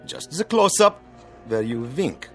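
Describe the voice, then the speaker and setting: An actor playing a brisk, bossy film director with a theatrical manner, in a radio drama. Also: male voice, on the high side, big pitch swings, faint music behind. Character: excitable male film director.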